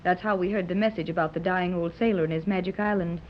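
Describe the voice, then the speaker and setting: An actress playing a gentle, hopeful wealthy mother with a soothing, earnest manner, in 1930s radio serial style. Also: lowish voice, fairly even intonation, fast talker, natural voice. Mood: calm. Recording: dull, noisy 1930s radio transcription.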